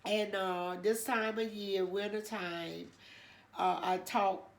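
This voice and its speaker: A woman's voice: medium pitch, fairly even intonation, slow. An older woman hosting her own channel, chatting casually and telling a church story in a nasal, congested voice, rambling with many fillers. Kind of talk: casual chat.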